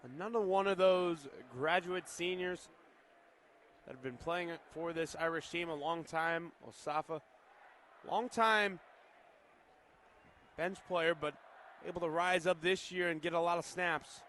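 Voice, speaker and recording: An American man with a slightly high voice serves as color commentator on a football radio broadcast. He offers analysis of the game between plays. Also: measured pace, pauses often, fairly bright tone, a little hiss or hum.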